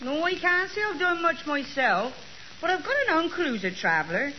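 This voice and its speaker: Prim genteel young miss, high voice, swoops around a lot. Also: female voice, sing-song, brisk, slight background noise. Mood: polite. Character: prim young woman guest. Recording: muffled old radio broadcast.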